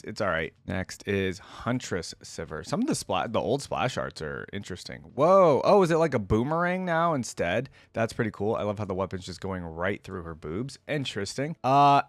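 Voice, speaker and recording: A man's voice: lowish voice, no echo. A man giving casual, informal, lightly amused commentary as host of a reaction video.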